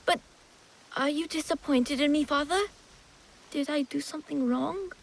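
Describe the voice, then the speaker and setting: A polite young nobleman's son speaks with a bright, high voice. Eager and earnest in every phrase. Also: moderate pace, vocal fry, low-quality recording. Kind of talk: video game cutscene acting.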